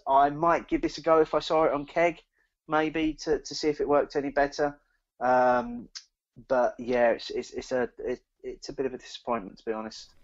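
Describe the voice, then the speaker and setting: A man with a mid-pitched voice chats casually as a podcast host giving a review. He thinks aloud in a halting way, often doubling back on words. The audio is somewhat dull and lo-fi. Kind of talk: casual chat, beer review.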